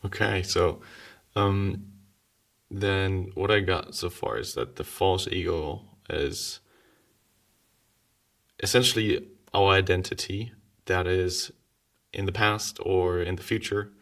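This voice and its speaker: A man's voice: low-pitched, fairly even intonation, takes it slowly, gravelly, long pauses, fairly bright tone, far from the mic. A man hosting a podcast, thoughtfully summing up what his guest has said. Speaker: podcast host. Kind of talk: casual interview.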